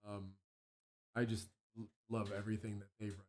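A hoarse man, a musician guest, chatting casually on a local radio show with lots of ums and restarts. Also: on the low side, level tone, a little fast, a little hiss or hum. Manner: rambling, many ums and restarts.

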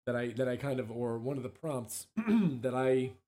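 A hoarse man, a musician guest, chatting casually on a local radio show with lots of ums and restarts. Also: mid-range voice, animated, normal speed, warm tone. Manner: rambling, many ums and restarts.

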